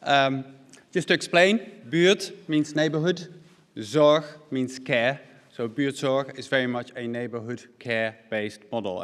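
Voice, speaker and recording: A man's voice: on the low side, clear, resonant tone. A middle-aged man from the Netherlands with a Dutch accent, giving a conference presentation at podium mics in a large hall, explaining with frequent ums and uhs. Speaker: conference speaker. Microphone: stand mics at a podium. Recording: long hall reverb.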